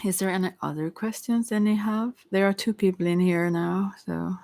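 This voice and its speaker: A tarot reader giving casual, gently encouraging spiritual advice in an ASMR livestream, rambling hesitantly and often trailing off mid-thought. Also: medium pitch, steady tone, normal speed, vocal fry, no room sound.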